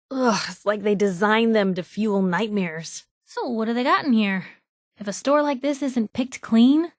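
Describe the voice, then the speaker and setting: A woman's voice: a little high, dry recording, clean studio sound, lightly acted. A woman playing a wry, inquisitive young reporter companion in a video game, with an American accent and a chatty, sarcastic way of talking. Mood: sardonic disgust, then wry, teasing. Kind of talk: video game companion dialogue, conversational asides.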